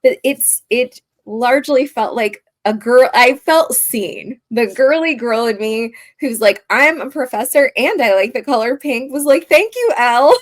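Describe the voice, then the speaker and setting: A woman in her early forties co-hosting a casual chat about a film, chatty and quick to laugh. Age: early 40s. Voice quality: higher-pitched voice. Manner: laughs often, chatty and animated. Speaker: podcast co-host.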